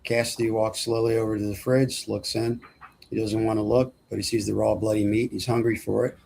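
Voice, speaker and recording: A man's voice: on the low side, level tone, brisk, gravelly, a little mellow, a little hiss or hum. A man narrating screenplay action lines at a film table read. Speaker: script narrator.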